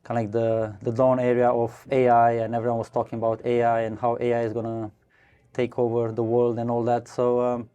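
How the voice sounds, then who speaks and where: A man's voice: mid-range voice, monotone, a little fast, warm tone, smooth, highs cut off. A man from Romania, a company co-founder, giving casual interview answers in a brewery podcast studio, hesitant and full of restarts. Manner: hesitant, many fillers and restarts, laughs easily. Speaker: guest, startup co-founder.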